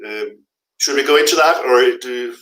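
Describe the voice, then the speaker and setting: A man's voice: medium pitch, normal speed, pushed and bright. Blunt middle-aged man in an echoey room. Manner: frank, blunt warnings with repeated emphasis. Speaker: guest, freelance translator. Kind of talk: advice in a live interview.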